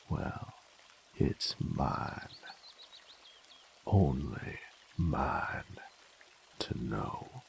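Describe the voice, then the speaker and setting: A man playing a cocky, possessive, sadistic bandit with a gravelly, teasing voice in an intimate audio roleplay. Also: very deep voice, a little hiss or hum, heavily acted. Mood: taunting, seductive. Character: cocky, possessive, sadistic male bandit, rough-spoken and seductive. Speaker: voice actor.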